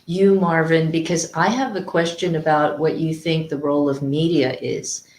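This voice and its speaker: A moderator on a panel discussion, measured and inviting in asking questions. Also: mid-range voice, fairly even intonation, a little mellow, echoey room.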